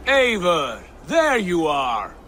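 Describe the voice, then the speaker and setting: An actor playing a loyal, practical Viking ally who speaks in an encouraging way, in a video game cutscene. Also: male voice, high-pitched, huge pitch swings, slow, bright, forceful tone, slight background noise, heavily acted. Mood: steady. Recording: music under dialogue.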